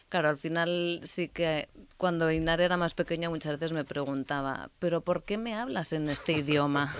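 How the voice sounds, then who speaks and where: A woman's voice: sits low, a bit bright, a little hiss or hum. A woman chatting as a guest, talking freely with many fillers and restarts. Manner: chatty, full of fillers and self-corrections. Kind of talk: casual conversation.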